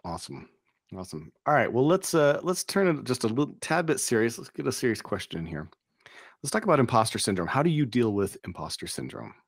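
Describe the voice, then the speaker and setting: A middle-aged man hosting a casual interview over a video call, upbeat with quick reactions, speaking into a studio mic. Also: mid-range voice, big pitch swings, no echo. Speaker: interview host. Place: home studio. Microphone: boom studio microphone with headphones.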